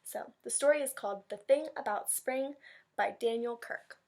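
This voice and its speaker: A woman's voice: on the high side, normal speed, gravelly, a little mellow. A woman teacher chatting informally and animatedly to young children during a read-aloud.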